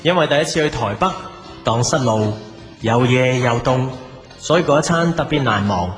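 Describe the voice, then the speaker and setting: A man acts out a struggling café owner in an audio drama, looking back with wistful regret. He has a Hong Kong Cantonese accent and a mid-range voice. His telling is reflective and melancholy, set over clearly heard music. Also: mid-range voice, lively intonation, normal speed, lightly acted. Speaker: lead actor and narrator. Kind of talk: scripted audio drama narration. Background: music behind the voice.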